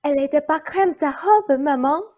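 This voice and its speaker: A naive young daughter from a fairy-tale radio play, acted by a woman. Her voice is very high and childlike, with a blunt, artless delivery. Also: moderate pace, darkish tone, close to an everyday voice. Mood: naive, protesting. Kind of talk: theatrical radio play acting.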